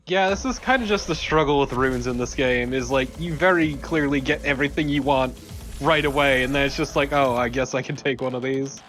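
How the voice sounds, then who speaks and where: A man's voice: mid-range voice, a little fast, a bit bright. A man doing casual video game commentary as a co-host, with a dry, opinionated way of talking. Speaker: let's play co-host. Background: game music.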